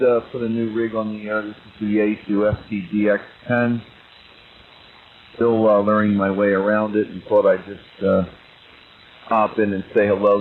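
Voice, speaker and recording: Muffled ham radio guy, lowish voice, bit of static, casual and kinda hesitant. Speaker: amateur radio operator, caller. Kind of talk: casual amateur radio exchange. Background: faint radio noise.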